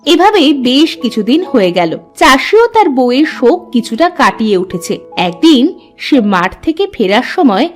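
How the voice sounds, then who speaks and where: A woman's voice: high voice, very animated, unhurried, soft background music. A woman reading scripted narration for a cartoon folk tale.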